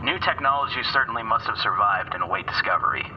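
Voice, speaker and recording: An actor playing a decisive, authoritative military commander in a sci-fi audio drama. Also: male voice, fairly low pitch, lively intonation, fast, distant mic, dull audio, noisy background, lightly acted. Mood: reporting, measured.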